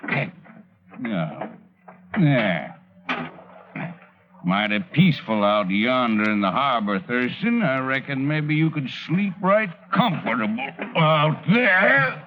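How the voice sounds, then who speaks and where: An actor playing a calmly menacing cargo pilot with a folksy American Southern drawl in a vintage radio drama. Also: male voice, mid-range voice, noisy background. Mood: smugly menacing. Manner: slow folksy drawl, falsely polite menace. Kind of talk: 1940s radio acting. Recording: tinny, narrow-band old radio recording.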